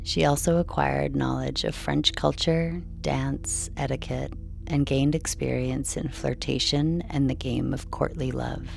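Very low-voiced woman reading a bedtime story. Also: steady tone, vocal fry. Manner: measured, soothing, unhurried delivery. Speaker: sleep story narrator. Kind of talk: slow scripted bedtime narration. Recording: soft music behind.

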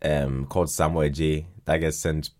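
A man's voice: very deep voice, little pitch movement, unhurried, mellow. A man from Ghana chatting casually as a podcast guest, modest and hesitant, often repeating his words. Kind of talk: casual interview chat.

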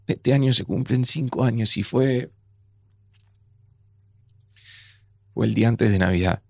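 An actor playing a grieving, resigned man in an audio drama, speaking Rioplatense Spanish in a subdued, hesitant, gravelly way. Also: mid-range voice, a little fast, no echo. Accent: Rioplatense Argentine. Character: grieving, resigned widower in a near-future Argentina. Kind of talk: naturalistic audio drama dialogue.

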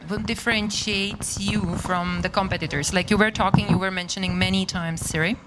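A woman's voice: mid-range voice, fairly even intonation, fairly quick, noisy background. A woman whose first language is Czech, interviewing a guest in English with a Czech accent and asking long, probing questions.

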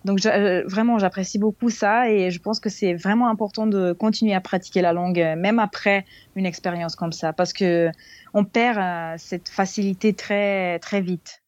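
A woman's voice: medium pitch, steady tone, normal speed, darkish tone, no echo, muffled recording. Hesitant young woman from Serbia. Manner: hesitant, searching for words in a second language. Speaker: interviewee, former exchange student. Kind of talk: interview answers.

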